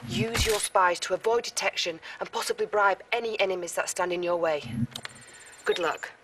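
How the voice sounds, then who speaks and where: An actress playing a brisk female intelligence officer briefing a commander on a mission. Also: on the low side, a bit bright, slight background noise, close to an everyday voice. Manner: crisp briefing delivery.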